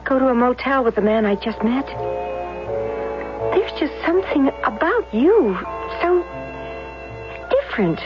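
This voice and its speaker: Naive, yearning young waitress, high-pitched and hesitant, vintage radio drama, dull archival sound. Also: female voice, very animated, measured pace, long pauses. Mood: yearning, lonely. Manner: hesitant, earnest. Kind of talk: 1970s American radio drama acting. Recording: dull old radio recording, loud music.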